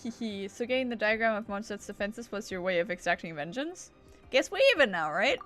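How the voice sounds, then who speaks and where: A woman's voice: mid-range voice, lively intonation, fairly quick, a bit bright, soft background music. A woman streaming a video game with casual, playful commentary in short reactions.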